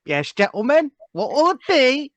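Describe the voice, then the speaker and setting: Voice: sits high, big pitch swings, normal speed, fairly bright tone, dry recording, pitched above the performer's own voice. A performer voicing a chatty, folksy, easily alarmed English woman who keeps a small-town shop, in a radio drama read. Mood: polite, chatty. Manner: deferential, folksy.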